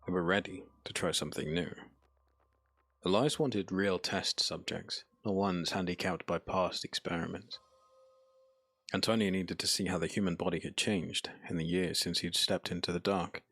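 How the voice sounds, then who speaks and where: A man narrating a dark fantasy audiobook in a solemn, measured, dramatic manner. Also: low-pitched, steady tone, breathy edge, dry recording.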